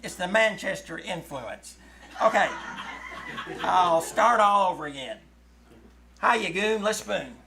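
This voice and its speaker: A woman's voice: mid-range voice, expressive, slow, gravelly, pauses often. A woman playing a skeptical, wisecracking young boy with a dry, sarcastic manner in old-time radio comedy. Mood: hammy, playful. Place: live on a small theater stage.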